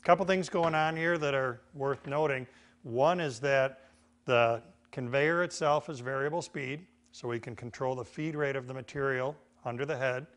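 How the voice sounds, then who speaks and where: A man's voice: mid-range voice, normal speed, fairly bright tone. A middle-aged man with an American accent giving an informal, folksy hands-on instructional demonstration as a woodworking teacher. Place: indoor woodworking shop.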